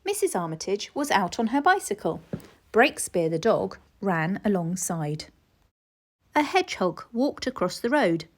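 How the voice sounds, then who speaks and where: A woman reading a children's story aloud in an expressive storytelling style. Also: mid-range voice, unhurried. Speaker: storybook reader.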